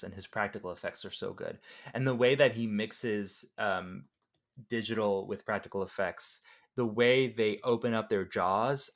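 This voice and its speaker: A man in a casual, informal podcast-style film discussion, talking as a co-host. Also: fairly low pitch.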